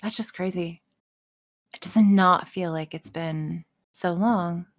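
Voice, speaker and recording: Frank, sweary woman with a lowish voice. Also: takes it slowly. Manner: frank, sweary, self-deprecating. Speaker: voice actress and audio creator being interviewed. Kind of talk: casual, candid podcast interview chat.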